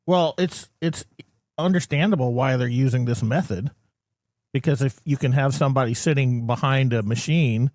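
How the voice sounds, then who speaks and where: A man's voice: medium pitch, a little mellow, no echo. Blunt male radio panelist, dry sound. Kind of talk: casual studio discussion.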